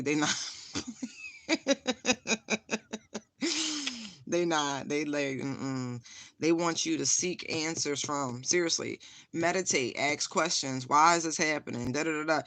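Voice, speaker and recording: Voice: medium pitch, takes it slowly, long pauses, fairly bright tone, dry recording. A tarot reader giving a casual spiritual reading, frank and profane, laughing often and repeating phrases for emphasis.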